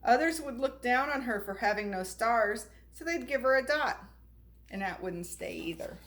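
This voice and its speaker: A woman reading a children's storybook aloud in an expressive, unhurried way. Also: fairly high pitch. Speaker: storybook reader.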